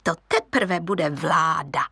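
A woman's voice: low voice, lively intonation, vocal fry, sharp tone, slightly rough, no room sound, voice pushed down, full character voice. A woman voicing a proud, doting mother lioness in a storybook, fond and warning.

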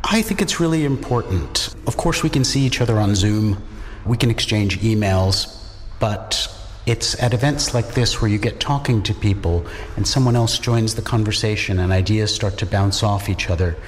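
A man with a very low voice gives careful, thoughtful answers as an academic in an interview. He restarts his phrases now and then. The recording carries a long reverb and a faint hiss. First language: English. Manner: thoughtful, measured, with small restarts. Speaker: scholar of Czech language and translator.

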